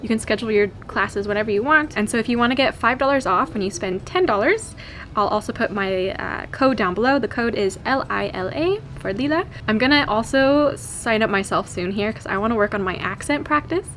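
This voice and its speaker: Young american gal vlogging, bit high voice, giggly and chatty, faint rumble behind. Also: female voice, a little fast. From California. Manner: chatty, giggly, lively storytelling. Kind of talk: casual chat to camera.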